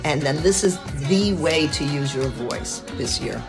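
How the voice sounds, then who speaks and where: A woman's voice: deep voice, expressive, unhurried. Woman narrating over music. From Ecuador. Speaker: singer and activist. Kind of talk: scripted promo narration.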